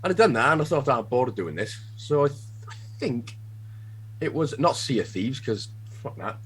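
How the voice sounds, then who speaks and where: A man's voice: medium pitch, big pitch swings, moderate pace, fairly bright tone, slight background noise. A Welsh man guesting on a podcast, chatting casually, swearing freely and laughing at himself over a remote call. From Wales.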